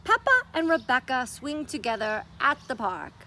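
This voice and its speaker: A woman's voice: sits high, very animated, moderate pace, pushed and bright, slight background noise. A woman presenting a children's story time, reading aloud and giving simple, encouraging explanations. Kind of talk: children's storytime read-aloud and craft instruction.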